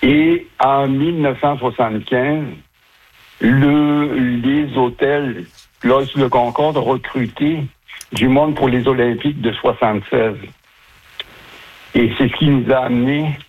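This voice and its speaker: A husky-voiced man in his seventies, from southern France, speaks over a muffled phone line. He is a retired chef giving casual, chatty interview answers in colloquial language, telling stories as he goes. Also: medium pitch, steady tone, takes it slowly. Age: 70s. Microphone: telephone.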